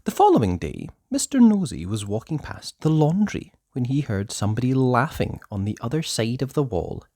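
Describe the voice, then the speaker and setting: A storyteller reading a children's book aloud in a theatrical, deliberate way, with emphatic words and hushed passages. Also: medium pitch, sing-song. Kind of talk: expressive children's story narration.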